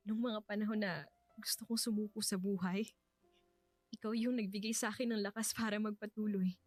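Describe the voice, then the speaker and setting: A woman with a Filipino accent playing a tender widow in a radio drama, softly and hesitantly opening her heart to love again. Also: mid-range voice, dry recording. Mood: emotional, hopeful. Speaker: radio drama actress and narrator.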